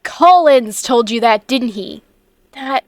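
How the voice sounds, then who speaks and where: A woman plays a spirited teenage girl in a comic dub. Her high voice is recorded very dry, and she comes across earnest, eager and a little defiant. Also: lively intonation, slow pace, no room sound. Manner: earnest, emotive. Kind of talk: earnest fandub acting.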